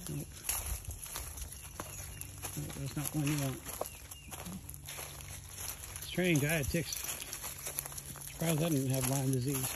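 A man's voice: fairly high pitch, steady tone, measured pace, long pauses. A man chatting easily on a wooded trail, reminiscing and pointing things out as he walks, recorded on a phone. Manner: easygoing, reminiscing, narrates the trail. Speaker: vlogger. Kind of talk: casual chat on a hike. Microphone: phone camera held while walking. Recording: clear outdoor noise.

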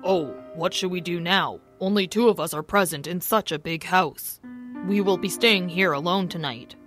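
A woman's voice: on the low side, moderate pace, fairly bright tone, natural voice. A woman voicing a playful, teasing young man with a boastful manner. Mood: calm. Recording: faint music behind.

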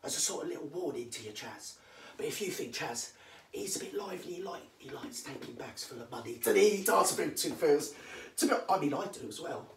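A vlogger with a London accent, rattling off comic celebrity gossip, answering their own questions and dropping into hushed asides. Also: lively intonation, brisk, a little hiss or hum. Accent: London English. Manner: drops to hushed asides, jumps to emphatic high yeses. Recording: echoey room, voice far from mic.

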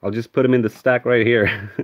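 A man's voice: medium pitch, highs cut off. A man from Sweden with a Swedish accent tinged with American, casually chatting on a live stream, greeting viewers and naming cards as he opens them. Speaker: card-opening streamer. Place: indoor room with card display.

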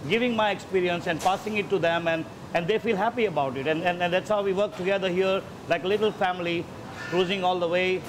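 A man's voice: high-pitched, noisy background. A man, an executive chef, walking through and explaining his kitchen in a casual way, often repeating words as he goes. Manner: repeats words while gathering thoughts. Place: ship galley kitchen.

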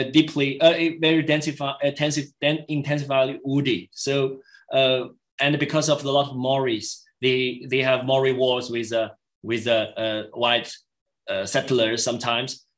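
A middle-aged man, a native Chinese speaker, answering questions after a lecture in halting English with many restarts, over a video call. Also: mid-range voice, a bit bright. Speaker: guest lecturer. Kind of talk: academic Q&A answers.

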